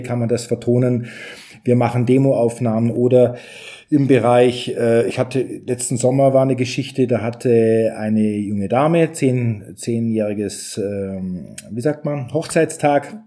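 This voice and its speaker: A middle-aged man with a very mellow, dark voice at an average pitch. He speaks as an interview guest, explaining his work in a chatty, conversational way with a joking touch. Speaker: recording studio owner, interview guest. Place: home recording studio indoors.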